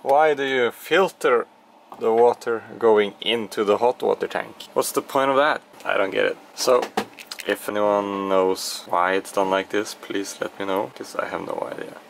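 Male sailing vlogger, young adult, fairly deep voice, informal delivery, noisy handheld-camera sound. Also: unhurried, creaky. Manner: informal, thinking aloud. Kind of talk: casual vlog commentary. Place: small sailboat cockpit and cabin. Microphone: handheld camera at arm's length. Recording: noisy on-board camera audio, steady hum.

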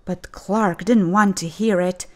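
A woman reading a children's storybook aloud with expressive, dramatic and deliberate phrasing. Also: medium pitch. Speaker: storybook reader.

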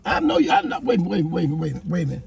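A man's voice: high voice, wildly swooping pitch, quick pace, vocal fry, darkish tone, breathy edge. An older man, arguing in a car, stammering and repeating words, swearing often. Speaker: passenger uncle. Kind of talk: casual argument. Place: inside a parked car. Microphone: phone on dashboard.

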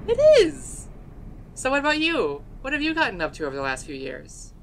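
A woman acts out a chatty young woman scientist, part dog, in a fan dub drama. She is blunt and casual, with a bright, lively swing to her speech, over faint background noise. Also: high-pitched, moderate pace, vocal fry, heavily acted. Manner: casual, animated, exasperated at hesitation.

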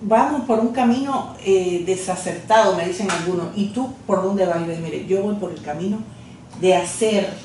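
A woman from Cuba, hosting an interview in an office and responding warmly to her guest. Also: mid-range voice, moderate pace, slight background noise. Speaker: interviewer, host. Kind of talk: casual conversational interview. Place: office, seated at a desk. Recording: echoey room from far off.

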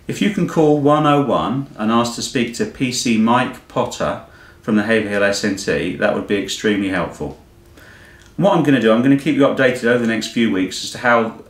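Low-voiced British man, echoey room. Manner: measured, matter-of-fact, formal. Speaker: police inspector giving a local news update. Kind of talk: semi-scripted police news bulletin read to camera. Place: indoors, small plain room on a sofa.

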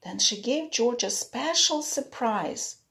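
Slow-paced woman reading aloud to children. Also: on the high side, animated, fairly bright tone. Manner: simple, explanatory phrasing for young children. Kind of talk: children's picture-book read-aloud with explanatory asides.

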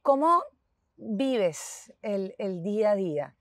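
A woman in her thirties hosting an interview, asking questions in a warm, lively way. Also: medium pitch, slow, no echo. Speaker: interviewer, host. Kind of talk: conversational interview. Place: outdoors in a city park. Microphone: clip-on lapel mic.

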